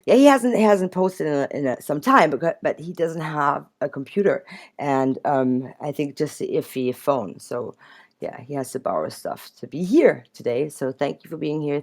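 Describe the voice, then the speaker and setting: A woman co-hosting a radio show in casual chat, speaking affectionately and haltingly. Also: low voice, a little mellow.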